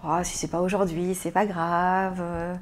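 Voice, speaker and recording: A woman's voice: fairly low pitch, level tone, slight background noise. A hesitant, thoughtful Frenchwoman. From France. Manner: thoughtful, many pauses and repeated words. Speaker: interview guest, business owner. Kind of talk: hesitant conversational interview answers.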